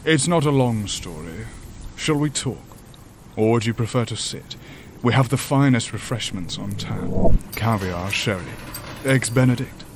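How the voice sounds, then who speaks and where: An actor playing a grave, ancient demon from a higher dimension in a horror audio drama, speaking formally and deliberately. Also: male voice, animated, a little hiss or hum, close to an everyday voice. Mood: courteous. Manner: formal, deliberate, solemn, eloquent.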